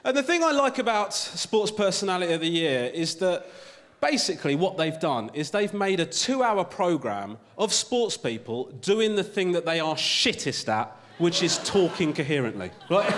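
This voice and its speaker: A man's voice: sits high, big pitch swings, moderate pace, a bit bright, long reverb tail. A male stand-up comedian doing a conversational routine on a TV studio stage in front of a live audience, often tagging his lines with "right?".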